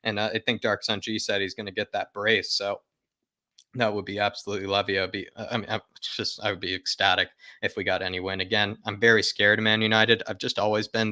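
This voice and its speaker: A man with a fairly low, forceful voice hosts a football fan livestream. He talks casually and directly to viewers and often repeats phrases for emphasis. The sound is dry and close. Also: no echo. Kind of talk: casual live fan chat, reading viewer comments aloud.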